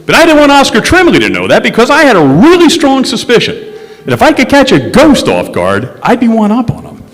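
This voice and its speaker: A man playing a wry, smooth-talking insurance investigator who narrates his case in the style of a 1950s radio detective drama. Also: very high-pitched, wildly swooping pitch, brisk, heavily acted. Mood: wry, confiding. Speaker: stage radio actor. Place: stage in a historic courthouse hall. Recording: echoey hall, music underneath.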